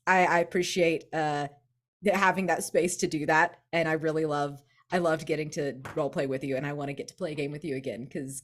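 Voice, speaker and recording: A woman in a casual post-game chat, speaking effusively as a tabletop role-playing player. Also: fairly low pitch. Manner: effusive, gushing praise.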